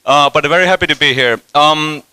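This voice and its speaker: Man in his 30s, conference speaker, very bright voice, dry close sound. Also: a little high, fairly quick, clean tone, dry recording, poor audio. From Finland. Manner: casual, loose and joking, many fillers and restarts. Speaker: conference speaker, company CEO. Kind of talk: conference talk. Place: conference hall stage.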